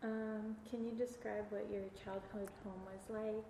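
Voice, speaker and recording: Creaky, even-toned woman interviewer, echoey. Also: mid-range voice, a little slow. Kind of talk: prepared interview questions. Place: community center room. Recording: echoey room with clear background noise.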